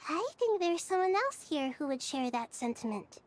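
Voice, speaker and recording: A woman playing a gentle, wise young girl deity in a video game, speaking in measured, polite, articulate phrases. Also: sits high, sing-song, slight background noise. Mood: gentle, reflective. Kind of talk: video game story acting. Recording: music behind the dialogue.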